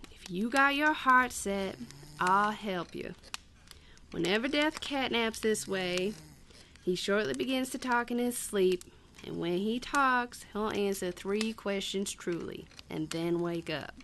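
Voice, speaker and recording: A woman's voice: medium pitch, fairly bright tone, slight background noise. A woman playing a kindly, shrewd old granny in a radio drama, with a folksy American country accent and fond, homespun talk. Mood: helpful, sly. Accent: American Southern or Western. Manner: slow, folksy, endearments like child and darling.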